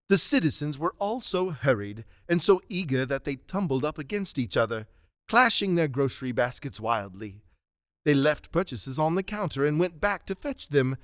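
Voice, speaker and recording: A man giving an expressive, storybook narration for a radio drama. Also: on the high side, no echo, studio quality.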